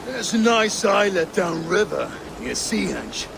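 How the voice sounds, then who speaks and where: A gravelly scornful Danish warrior. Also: male voice, high-pitched, sing-song, unhurried, background noise, exaggerated voice. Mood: mocking. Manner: scornful, taunting. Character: gruff boastful Danish warrior man, mocking. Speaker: rival warrior in a rhyming insult duel. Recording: game cutscene with loud ambience.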